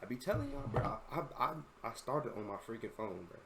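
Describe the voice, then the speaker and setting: A man's voice: medium pitch, sing-song, fairly quick, heavy background noise. A 21-year-old man and content creator, giving casual, rambling reaction commentary full of slang and constant "bro.".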